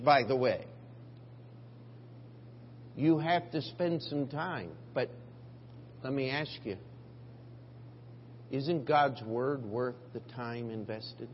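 Slow deliberate preacher guy, emphatic on scripture, echoey church tape, muffled. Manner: emphatic, rising on scripture, dropping low for asides. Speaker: pastor. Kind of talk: preaching a sermon. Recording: dull lo-fi church recording with hall reverb and faint hum.

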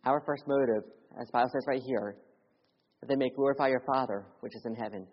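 Young guy from philly preaching, husky voice, goes loud then real quiet, long echo in a church, kinda dull sound. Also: fast talker. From Philadelphia. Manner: earnest, swings between loud urgency and quiet hushed lows. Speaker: missionary preacher. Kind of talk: sermon with personal testimony. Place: church sanctuary.

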